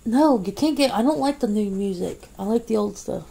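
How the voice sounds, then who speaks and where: Woman ASMR creator, casual one-sided home chat, rambling and laughing, husky mellow tone. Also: mid-range voice, animated, a little fast, poor audio. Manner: rambling, chatty, laughs often, many fillers. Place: indoors at home.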